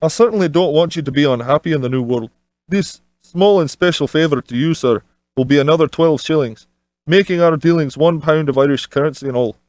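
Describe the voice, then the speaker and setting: A man voicing a crafty, smooth-talking Scottish sea captain in an audio storybook, with wheedling salesman's patter. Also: a little high, fairly quick, a little mellow, no echo, pitched above the performer's own voice, close to an everyday voice. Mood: sly, persuasive. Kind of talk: audiobook character dialogue.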